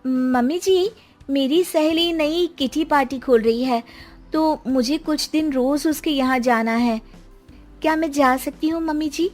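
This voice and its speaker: A woman's voice: high voice, a little slow, faint music behind, voice pushed up. A woman voicing a meek, earnest young daughter-in-law who speaks in a pleading, deferential way in a moral story. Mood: nervous. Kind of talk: melodramatic Hindi moral story acting.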